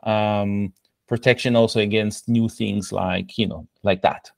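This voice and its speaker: A man's voice: lowish voice, fairly even intonation, a little slow, gravelly, dry recording. A middle-aged man from Israel with an Israeli accent, answering conversationally as a podcast guest in a reflective, explanatory way. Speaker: podcast guest, product coach.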